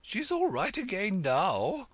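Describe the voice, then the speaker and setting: A storybook reader voicing an imperious, bossy queen who speaks in loud, drawn-out commands. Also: mid-range voice, wildly swooping pitch, slightly rough, no echo, voice pushed up, heavily acted. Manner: commanding, drawn-out emphatic words.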